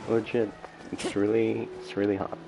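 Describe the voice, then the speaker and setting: A man's voice: on the low side, flat delivery, normal speed, creaky, music in the background. A man livestreaming a video game with casual, offhand commentary.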